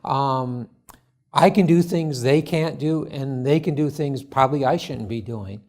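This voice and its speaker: A man presenting his startup at a conference forum, answering judges' questions in a halting, earnest way full of restarts. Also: medium pitch, darkish tone. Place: conference hall.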